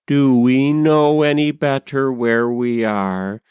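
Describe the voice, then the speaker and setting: Male poetry reader, medium pitch, smooth and resonant, deliberate storytelling delivery, dry close sound. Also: fairly even intonation, a little mellow, no room sound. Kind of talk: measured literary poem recitation.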